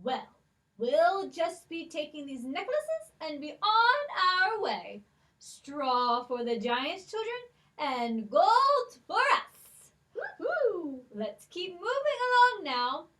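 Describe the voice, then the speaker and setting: A plucky young girl voiced by a woman in a children's puppet show. Her voice is extremely high and very lively in pitch. She speaks slowly, with confident, exclamatory delivery. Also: heavily acted. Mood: plucky, triumphant.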